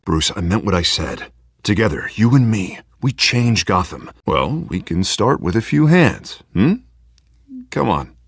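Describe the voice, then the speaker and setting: An actor playing an earnest, idealistic male politician in a video game, emphatic and persuasive, with a husky voice. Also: sits low, sing-song, a little slow, no echo, exaggerated voice. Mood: earnest, rousing. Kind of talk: dramatic game dialogue acting.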